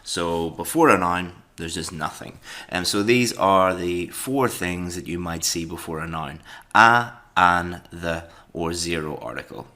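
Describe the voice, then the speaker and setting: A man with a very low voice and a bright, forceful edge to it. He explains grammar step by step to exam students and keeps filling his pauses with ums and restarts. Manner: explanatory, many fillers and restarts. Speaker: English exam teacher. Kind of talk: recorded online lesson.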